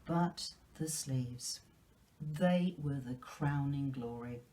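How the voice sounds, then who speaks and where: A woman reading a children's classic aloud as a storyteller, with careful, expressive delivery. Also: a bass voice, extremely slow. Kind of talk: storytelling, scripted narration from a novel.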